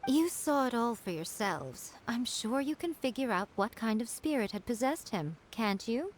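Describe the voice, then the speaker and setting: Haughty teasing fox spirit lady, poised game character, highish voice, bit of background noise. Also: big pitch swings, normal speed. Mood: smug, unhurried. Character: sly, haughty, self-assured female fox-spirit shrine maiden and publisher. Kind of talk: video game voice acting.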